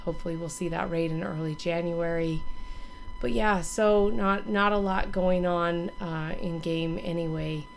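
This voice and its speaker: A hoarse woman in her thirties, still recovering from illness, gives a rambling, casual channel update to a webcam from her home gaming room. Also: on the low side, fairly even intonation, unhurried, a little hiss or hum. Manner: rambling, many ums and restarts. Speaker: gaming streamer and YouTuber.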